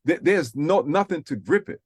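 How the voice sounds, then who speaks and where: A man's voice: a little high, animated, moderate pace, slightly rough, no echo, studio quality. A man from New City, hosting a radio faith talk, earnest and preacherly, repeating his phrases for emphasis. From New York City. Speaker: radio show host.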